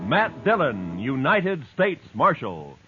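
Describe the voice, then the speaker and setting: A man announcing an old radio western in a dramatic, deliberate manner. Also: medium pitch, huge pitch swings, phone-quality sound, over loud music.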